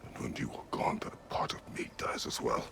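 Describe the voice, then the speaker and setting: An actor playing a stern, grieving middle-aged warrior husband in a video game, terse and solemn, with a gravelly voice. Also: male voice, a bit bright, whispered, natural voice. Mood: quiet, sorrowful. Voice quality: very deep, gravelly. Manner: terse, slow to open up, stoic. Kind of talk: dramatic video game acting.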